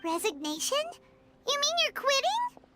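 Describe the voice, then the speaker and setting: A woman voicing a tiny, excitable, naive fairy girl in a video game, squeaky and childlike, full of exclamations. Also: unhurried, heavily acted. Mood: surprised, eager. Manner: exclamatory, speaks of herself in third person. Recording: voice under loud game music.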